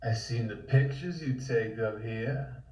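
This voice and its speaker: Man playing a slow, cocky 1920s tough. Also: medium pitch, darkish tone, lightly acted. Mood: pushy. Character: coarse, slangy 1920s tough guy. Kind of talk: dramatic comic table read. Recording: roomy, muffled, distant mic.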